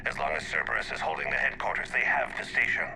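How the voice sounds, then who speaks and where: Sharp, gravelly alien operative. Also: male voice, rumbling low, big pitch swings, fast, a little hiss or hum, full character voice. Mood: urgent, grave. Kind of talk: video game voice acting.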